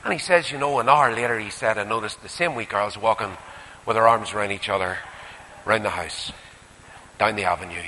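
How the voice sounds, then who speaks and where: A man with a Northern Irish accent preaching a sermon, putting rhetorical questions and moving between emphatic rises and quieter asides. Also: on the low side, a little fast, a little hiss or hum. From Northern Ireland. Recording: echoey hall with long reverb.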